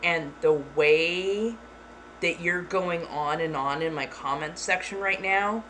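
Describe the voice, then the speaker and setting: Blunt, deliberately monotone woman streamer. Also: lowish voice. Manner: frank, swears casually. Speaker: streamer answering chat. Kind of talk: casual live-stream chat. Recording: clear background noise.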